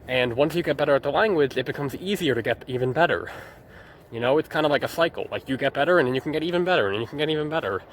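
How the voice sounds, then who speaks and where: A man's voice: on the high side, animated, racing, a little hiss or hum. A young man rambling through a personal journal vlog, hesitating and restarting often. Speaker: vlogger.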